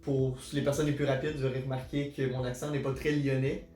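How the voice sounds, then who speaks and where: Young Québécois man with a flat, mellow voice. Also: medium pitch, fairly quick, echoey room, soft background music. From Quebec, Canada. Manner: earnest, hesitant with many filler sounds. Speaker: psychosocial worker. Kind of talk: self-introduction to camera.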